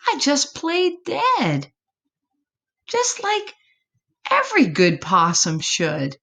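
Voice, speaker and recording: A woman's voice: high voice, huge pitch swings, drawn-out words, sharp tone, pitched above the performer's own voice, full character voice. A woman voicing a plucky, boastful little boy possum for a children's storybook, in eager, breathless exclamations. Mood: boastful, excited.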